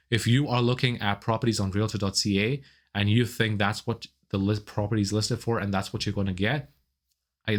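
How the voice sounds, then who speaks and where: A man, sick and losing his voice, giving a persuasive real estate market update. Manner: persuasive, direct sales pitch. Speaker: realtor. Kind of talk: market update vlog to camera.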